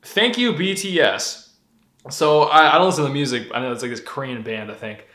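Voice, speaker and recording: A man's voice: mid-range voice, a little fast. A young man who grew up in Colorado, talking candidly and with plenty of profanity in a casual vlog monologue. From Denver, Colorado. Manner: candid, profane, animated storytelling. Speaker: vlogger. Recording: echoey room.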